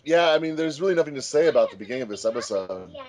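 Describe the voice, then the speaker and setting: A man's voice: medium pitch, expressive, racing, a little mellow. A man hosting a casual commentary track as a fan dub director, chatty and jokey.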